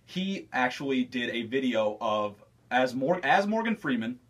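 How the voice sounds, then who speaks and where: A man's voice: medium pitch, very animated, unhurried, recorded from a distance. A man, a comedian and impressionist, chatting casually on a radio show. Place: radio studio.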